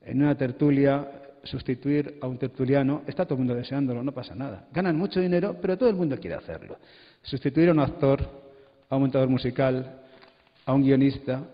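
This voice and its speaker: A man speaking off the cuff as a panelist at a public conference. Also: mid-range voice. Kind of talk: spontaneous public panel talk. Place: conference hall, amplified event. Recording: muffled, reverberant hall recording.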